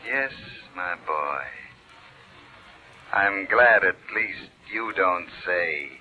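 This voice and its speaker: A man's voice: low-pitched, lively intonation, very slow, full character voice. An actor playing a menacing villain back from the dead in an old-time radio mystery, ominous and mock-fatherly, drawing out his words. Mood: sinister, taunting. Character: menacing dead man, slow, sinister villain on telephone. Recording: muffled old radio recording.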